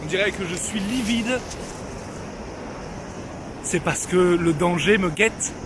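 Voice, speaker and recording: A young man talks through his travel vlog in a relaxed, slangy way, cracking jokes at his own expense. His voice sits a little high for a man. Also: slow pace, background noise. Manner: joking, slangy, self-mocking. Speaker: travel vlogger. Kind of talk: casual travel vlog narration.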